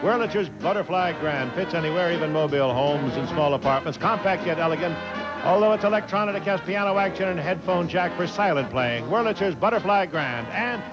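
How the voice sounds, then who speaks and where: A man's voice: sits high, expressive, fairly quick, over music, rough recording. A man announcing game show prizes in a scripted advertising read.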